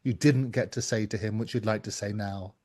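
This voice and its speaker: A man's voice: fairly low pitch, steady tone, a little fast, a little mellow. A British man interviewing as a talk show host, earnest and emphatic in a conversational way.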